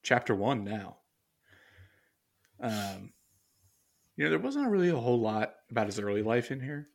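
A man's voice: mid-range voice, big pitch swings, moderate pace, vocal fry. A man co-hosting a casual podcast, rambling and hesitant, full of fillers and restarts as he reads aloud from his notes. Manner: muses aloud while reading from notes. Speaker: podcast co-host.

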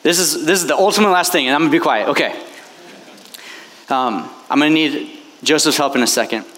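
A man's voice: a little high, a little fast, a bit bright. A man preaching as a priest to a retreat crowd, impassioned and chatty, with many fillers. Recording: long hall reverb, faint background noise.

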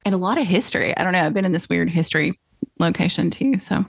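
A woman's voice: lowish voice, expressive, fast, creaky, slightly rough, dry recording, studio quality. A woman hosting a podcast interview, chatting in a rambling, casual way. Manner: chatty, rambling, many "like"s and restarts. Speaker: podcast host.

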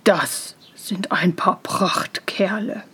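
A woman voicing a gruff, dismissive father of grown sons in a storybook fairy tale. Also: mid-range voice, a bit bright, in a whisper, pitched below the performer's own voice, exaggerated voice. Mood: scornful. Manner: dismissive, drawn-out.

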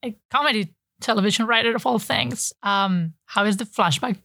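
Measured woman hosting an interview. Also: medium pitch, bright, forceful tone, no echo, clean studio sound. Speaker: podcast host. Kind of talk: interview host, scripted intro.

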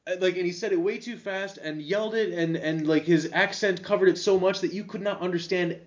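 A man's voice: high voice, brisk. A young man hosting a casual podcast, rambling with many restarts and casual swearing. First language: English. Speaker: podcast host. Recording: slightly dull lo-fi sound.

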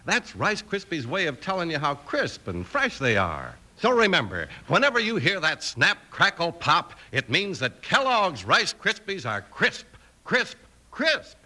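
A man's voice: on the high side, wildly swooping pitch, a little slow, sharp tone. An American man announcing for a 1950s radio show in an emphatic, polished, scripted delivery. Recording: old radio recording with music.

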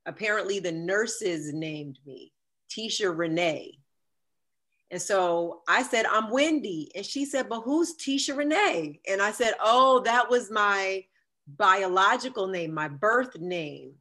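A woman in her fifties with a deep, sultry voice, telling a personal story as a podcast guest and acting out the quoted dialogue. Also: slow. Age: 50s. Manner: animated, acts out quoted dialogue. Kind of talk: storytelling in a conversational interview.

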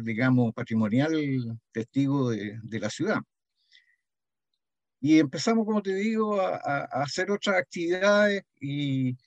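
An older man with a Chilean accent reminisces in a conversational interview, pausing and repeating words, often adding a short questioning "mm". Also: on the high side, no room sound, muffled recording. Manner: hesitant, frequent "¿m?" tags and repeated words. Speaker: interviewee, heritage association founding member.

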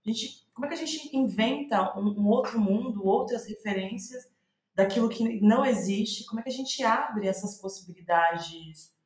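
A woman's voice: a little high, normal speed, darkish tone. A Brazilian woman speaking as an interviewed playwright, reflecting in conversation and circling back to rephrase as she thinks aloud. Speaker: guest playwright. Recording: roomy, echoing voice recording.

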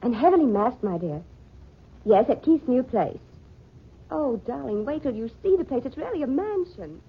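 A woman's voice: high-pitched, very animated, moderate pace, husky, full character voice. An actress playing an affectionate, gushing society woman in a theatrical 1940s radio drama style. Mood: chatty, gushing. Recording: dull, hissy old radio recording.

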